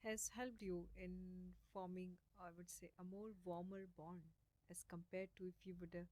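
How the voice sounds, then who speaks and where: A woman hosting a podcast interview, asking slow, careful questions and murmuring "mm"s as she listens. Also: on the low side, flat delivery, darkish tone, no room sound. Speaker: podcast host.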